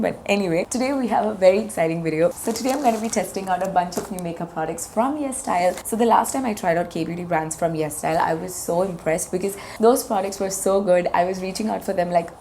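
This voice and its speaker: A woman in her thirties with a hoarse voice, chatting enthusiastically as she reviews makeup products on her channel. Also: medium pitch, fast talker, hardly any pauses, warm tone, a little hiss or hum. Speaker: beauty vlogger.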